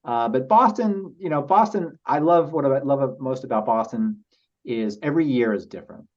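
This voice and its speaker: A man speaking as a podcast guest, telling personal stories in a halting, reflective and earnest way. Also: medium pitch, a little mellow. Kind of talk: casual interview storytelling. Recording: slightly dull lo-fi audio.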